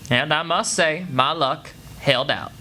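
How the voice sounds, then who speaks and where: Man as a high-voiced folksy storyteller. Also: unhurried, background noise, close to an everyday voice. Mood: troubled, determined. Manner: folksy, plain-spoken. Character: folksy, wry backwoods boy telling his tale. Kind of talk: scripted first-person radio play narration.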